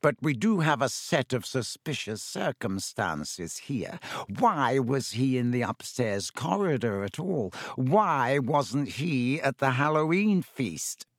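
Male reader doing a menacing, snide teacher for a storybook, drawn-out and cold. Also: mid-range voice, very animated, dry recording, clean studio sound, full character voice. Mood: sneering. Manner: slow, silky and sneering.

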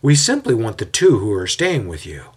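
A man voicing a scheming, smooth-talking mob leader in an audio story, speaking with calculated menace. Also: on the low side, very animated, normal speed. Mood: menacing. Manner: raised, calculated and coolly menacing.

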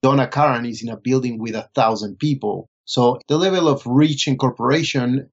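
A man from Colombia, speaking as a guest and telling his story in a relaxed, thoughtful way. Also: mid-range voice, brisk, breathy edge, muffled recording. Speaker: guest photographer. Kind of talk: casual interview answers.

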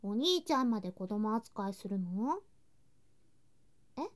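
A woman voicing a sweet, affectionate younger girl cousin in a Japanese ASMR situation drama, in a cutesy, clingy manner with soft whispery touches. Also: medium pitch, lively intonation, unhurried, close to an everyday voice. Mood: playful, pouting.

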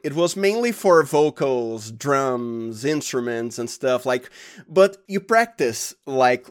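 A man with a Brazilian accent, a native Portuguese speaker, talking casually and haltingly as an interview guest. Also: mid-range voice, lively intonation. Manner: halting, informal, often says "like". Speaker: sound designer and podcast guest.

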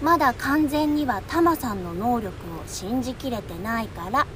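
An actress playing a pushy, cheeky high-school girl in an audio drama, talking casually and teasingly. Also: moderate pace, a bit bright, slight background noise, close to an everyday voice. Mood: brisk, confident. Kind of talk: Japanese audio drama acting.

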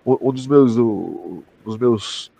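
Slightly husky young Brazilian man, slangy. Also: fairly low pitch, slow, mellow. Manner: slangy, sweary, informal. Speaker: podcast co-host. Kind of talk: casual chat about a sci-fi audio drama.

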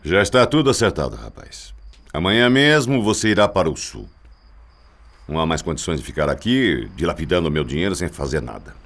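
Radio soap role: imperious middle-aged Brazilian patriarch, low voice, widely swinging intonation, cold and scolding. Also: male voice, sing-song, a little fast, a bit bright, heavily acted. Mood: stern, commanding. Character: cold, domineering middle-aged father ordering his son around. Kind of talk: radio soap opera acting.

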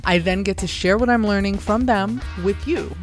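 A woman hosting a podcast, reading her own promo upbeat and clearly before interviewing a guest. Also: medium pitch, moderate pace, faint music behind. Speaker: podcast host.

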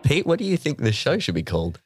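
Playful man with a lively, swooping voice. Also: mid-range voice, fast, loud music behind the voice. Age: 30s. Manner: playful, teasing. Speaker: podcast co-host. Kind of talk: casual chat.

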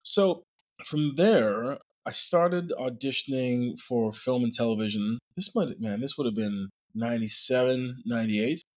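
A man's voice: mid-range voice, unhurried. A man in his forties from Montreal, a guest actor telling his story in an easygoing, rambling way with "like" and "um" fillers. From Montreal, Quebec, Canada. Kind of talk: casual interview chat.

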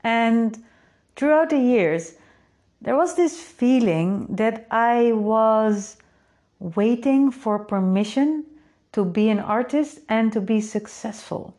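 A woman's voice: fairly high pitch, lively intonation, slow pace, a little mellow. A woman with a Dutch accent, a native Dutch speaker, talking in a reflective way to her creative community and often asking rhetorical questions. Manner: thoughtful, asks rhetorical questions, adds "right?". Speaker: artist and community host. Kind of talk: reflective solo talk.

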